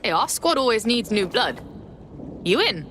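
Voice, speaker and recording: A woman's voice: on the high side, sing-song, normal speed, a bit bright, slight background noise. A woman playing a streetwise young hacker with a London accent in a video game, slangy and blunt. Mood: casual, confident.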